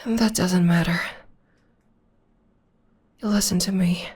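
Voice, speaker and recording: A woman playing a breathy, whispering witch girlfriend. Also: fairly low pitch, fairly even intonation, slow. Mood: tender, reassuring. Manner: soft half-whispered comforting. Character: gentle young witch girlfriend, devoted, reassuring. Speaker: voice actor. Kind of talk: ASMR audio roleplay. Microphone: close mic.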